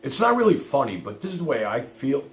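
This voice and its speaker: A man's voice: medium pitch, very animated, fast talker. A man hosting a livestream with casual, folksy, plain-spoken chat. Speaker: livestream host. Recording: roomy echo with faint noise.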